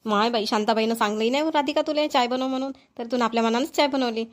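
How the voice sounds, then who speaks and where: A woman's voice: fairly high pitch, quick pace, natural voice. A woman playing an outspoken, chatty middle-aged village woman in a cartoon, emphatic and gossipy, with a Vidarbha Marathi accent. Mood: chatty, impressed. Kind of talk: amateur cartoon voice acting.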